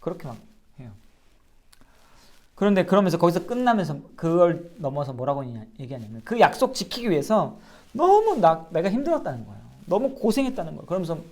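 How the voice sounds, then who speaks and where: A man's voice: on the high side, big pitch swings, fast, a little mellow. A man, a pastor, giving an earnest sermon-style Bible lecture and asking his listeners rhetorical questions. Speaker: pastor teaching a Bible class.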